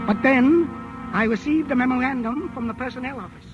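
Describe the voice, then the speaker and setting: An actor playing a timid, fearful older chemist, speaking formally and precisely in a 1940s-style radio drama. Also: male voice, extremely high pitch, fairly quick, over music. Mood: grave confession. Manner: formal, precise, methodical phrasing. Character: timid, fearful aging male chemist confessing crimes. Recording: muffled, very noisy old radio recording.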